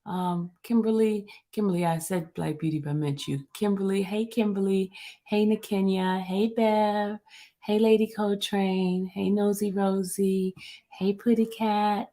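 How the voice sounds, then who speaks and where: A woman hosting a casual livestream, greeting her chat and then reading a court transcript aloud, with a relaxed delivery full of ums. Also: mid-range voice, measured pace.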